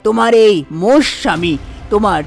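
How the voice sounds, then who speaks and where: A man's voice: high voice, expressive, moderate pace, creaky, soft background music. A man voicing a fussy priest and astrologer in a cartoon story, making grave pronouncements and explaining things with repeated exclamations. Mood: pleased, officiating. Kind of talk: Bengali cartoon story dialogue.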